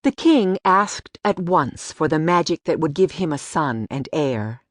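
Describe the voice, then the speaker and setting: A woman narrating a storybook audiobook in an expressive, deliberate way. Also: low-pitched, no echo, studio quality.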